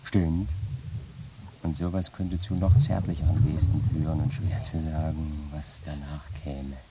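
An actor playing a sardonic stranger in a radio play, speaking in an affected, ironic, mock-apologetic way. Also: male voice, extremely low pitch, level tone, unhurried. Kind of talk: radio play acting, dialogue. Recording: faintly noisy 1980s radio play recording.

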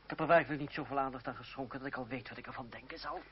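A man's voice: mid-range voice, rapid-fire, slight background noise, lightly acted. Man playing a sardonic young actor, dull old recording. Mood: cool, aloof. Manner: ironic, self-controlled, articulate. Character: sardonic young actor-playwright, proud, jealous fiancé in old France. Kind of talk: theatrical radio drama acting. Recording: dull old radio play recording, crowd behind.

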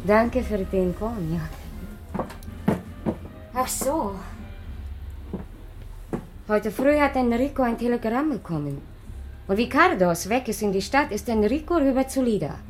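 A woman's voice: on the high side, lively intonation, measured pace, pauses often, over music. Gossipy circus woman, swooping intonation. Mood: hurried gossip. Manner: gossipy, broken German. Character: gossipy middle-aged former circus snake dancer, theatrical and nosy. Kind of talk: radio play acting.

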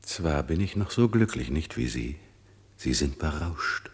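An actor playing a wise, watchful older magician father in a German radio drama, musing in a measured, solemn way. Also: extremely low pitch, sing-song, slightly rough, full character voice. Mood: musing, resolved. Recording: long reverberant echo.

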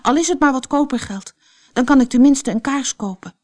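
A woman's voice: sits high, fairly quick, darkish tone, voice pushed up, close to an everyday voice. A woman voicing a young male soldier in a storybook, poor, hopeful and lovestruck, speaking eagerly and expressively. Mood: eager, commanding. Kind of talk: storybook character voice.

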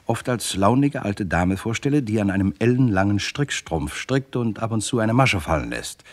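Deep-voiced, wry crime narrator. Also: male voice. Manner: wry, digressive, addressing listeners. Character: wry, digressive storyteller, a writer recounting a murder case. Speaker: first-person narrator. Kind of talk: literary scripted narration in radio crime drama.

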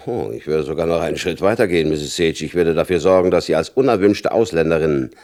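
An actor playing a hard, shrewd FBI chief in a German radio crime drama, condescending and insinuating, with a gravelly voice. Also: male voice, sits low, fast, darkish tone, lightly acted. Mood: insinuating pressure.

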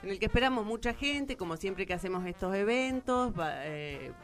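A woman's voice: mid-range voice, expressive, moderate pace, faint music behind. A woman with an Argentine Spanish accent, speaking informally and explaining as a guest in a radio interview. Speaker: interviewed theatre event organiser.

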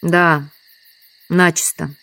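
An actress playing a lonely, resigned village widow in an audio drama, speaking plainly and matter-of-factly. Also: female voice, deep voice, flat delivery, drawn-out words, gravelly, fairly bright tone, heavily acted, rough recording. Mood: resigned, sorrowful. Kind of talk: Russian audio drama acting.

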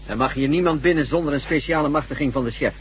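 An actor playing an obedient low-ranking guard in a Dutch radio drama, speaking in a formal, submissive manner. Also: male voice, fast, close to an everyday voice. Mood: dutiful. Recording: noisy old radio drama recording.

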